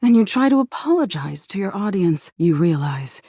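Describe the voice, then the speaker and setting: Dark, breathy, teasing nightmare spirit. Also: female voice, medium pitch, very animated, dry recording, studio quality. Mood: menacing, creeping. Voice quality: breathy, husky. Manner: slow, drawn-out, playful menace, slipping into breathy whispers. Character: sinister, teasing female living nightmare, seductively menacing. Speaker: video game character voice actor. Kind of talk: theatrical video game voice acting.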